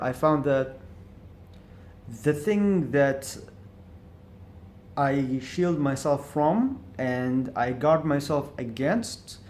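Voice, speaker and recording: A man's voice: a little high, a little mellow. A man talking alone in a reflective vlog, stuttering on words and restarting often as he thinks aloud. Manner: stutters with repeated syllables and restarts; thinks aloud, hesitant and searching. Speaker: vlogger. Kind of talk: reflective monologue to camera.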